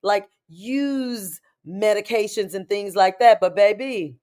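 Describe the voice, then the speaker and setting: Hoarse, chatty woman hosting live. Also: medium pitch, slow, a little mellow. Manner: chatty, familiar, calls viewers "baby" and "y'all". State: hoarse and raspy from illness. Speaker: spiritual coach hosting a live show. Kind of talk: casual live-stream chat.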